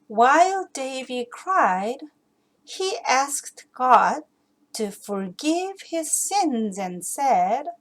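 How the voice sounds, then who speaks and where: A woman narrating a children's Bible story in a deliberate, expressive storybook style, like a teacher reading to kids. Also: sits high.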